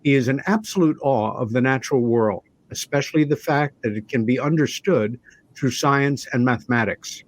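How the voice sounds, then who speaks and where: A man hosting a talk show, speaking in a measured, deliberate, conversational way. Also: mid-range voice. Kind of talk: conversational show introduction and interviewing.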